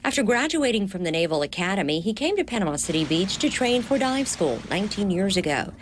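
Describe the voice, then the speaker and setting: A woman news reporter delivering scripted narration in a smooth, measured way. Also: medium pitch, sing-song, noisy background.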